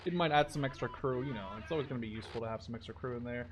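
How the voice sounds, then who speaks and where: A man's voice: medium pitch, very fast. A man in his twenties from the Netherlands giving casual, rambling let's-play commentary, thinking aloud and swearing offhandedly. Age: 20s. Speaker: gaming YouTuber.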